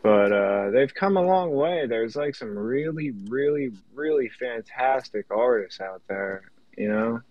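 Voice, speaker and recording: A man's voice: mid-range voice, expressive, unhurried, few pauses, no echo. A man in a casual panel chat, informal and enthusiastic, repeating words for emphasis. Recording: dull lo-fi line.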